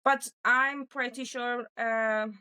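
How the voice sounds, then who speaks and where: A woman from Iran with an Iranian accent and Persian as her first language, answering in an interview, haltingly searching for words. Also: a little high, fairly even intonation, sharp tone, clean tone. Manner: halting, searching for words in non-native English. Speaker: interview guest giving a first-hand account.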